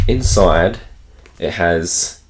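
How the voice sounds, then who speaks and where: An Australian man with a croaky voice from a cold, chatting enthusiastically to camera about games he picked up and laughing often. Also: sits low, extremely slow. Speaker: gaming channel host. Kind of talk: casual unboxing chat to camera.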